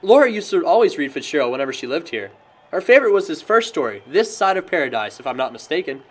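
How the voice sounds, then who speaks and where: A man's voice: a little high, very animated, fast talker. A young American man acting as a wry, sarcastic, bookish friend in film dialogue. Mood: reminiscing. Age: young adult. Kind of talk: naturalistic amateur film dialogue.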